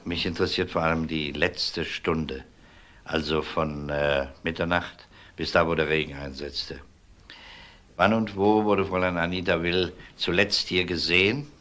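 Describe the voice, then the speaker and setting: A man's voice: sits low, fairly even intonation, a little slow, pushed and bright. A man playing a composed police detective in a crime radio drama, questioning politely and methodically. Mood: matter-of-fact, probing. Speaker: actor.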